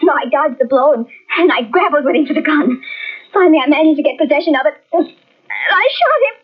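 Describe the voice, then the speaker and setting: A woman's voice: sits high, lively intonation, fairly quick. An actress playing an emotional, insistent young woman in an old radio mystery. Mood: hysterical. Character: dramatic young woman, fierce and excitable. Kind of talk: 1940s radio acting. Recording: tinny old radio recording.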